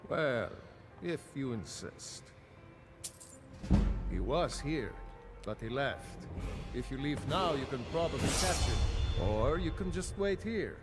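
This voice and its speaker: A man playing a guarded but obliging innkeeper in a video game, speaking in a folksy, unhurried way. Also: medium pitch, expressive, over loud music. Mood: coy, obliging. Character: male innkeeper, guarded but bribable, easygoing. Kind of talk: scripted video game voice acting.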